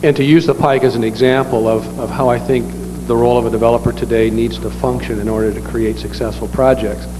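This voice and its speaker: A man speaking as a developer on a panel, hoarse from a cold, giving formal, polite and measured remarks. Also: medium pitch, fairly even intonation. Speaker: real estate developer on a panel. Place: lecture hall. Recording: hall reverb with clear background noise.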